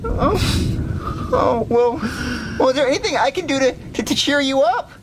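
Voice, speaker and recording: A naive young boy, pleads in a high, whiny voice. His pitch moves around a lot as he earnestly stammers through a comedy skit. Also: expressive, moderate pace, heavy background noise. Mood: earnest, puzzled. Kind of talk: comedy skit character acting.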